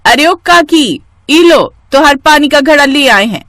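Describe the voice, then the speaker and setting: A woman's voice: high-pitched, animated, unhurried, a bit bright, no room sound, voice pushed up. A woman voicing a bold, naive young village bride with a rustic eastern Hindi accent and brash, folksy manner. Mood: cheerful.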